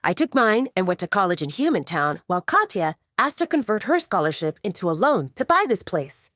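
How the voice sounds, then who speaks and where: Woman voicing a charming young male werewolf bartender, lively. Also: medium pitch, fairly quick, no echo, clean studio sound. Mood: proud, fond. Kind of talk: audiobook character dialogue.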